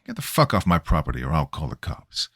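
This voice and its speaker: A man voicing a gruff, fed-up tough guy who talks bluntly and curses. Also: extremely low pitch, huge pitch swings, a little fast, a bit bright, no echo, clean studio sound, pitched below the performer's own voice, heavily acted. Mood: angry. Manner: blunt, hostile, cursing.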